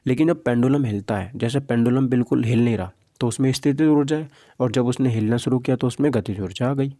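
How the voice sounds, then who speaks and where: Male tutor, mellow tone, dry close sound, mid pitch, informal question-and-answer teaching. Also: no echo. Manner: asks questions and answers them himself; informal, Hindi mixed with English terms. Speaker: physics teacher. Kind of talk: exam practice lesson, question and answer walkthrough.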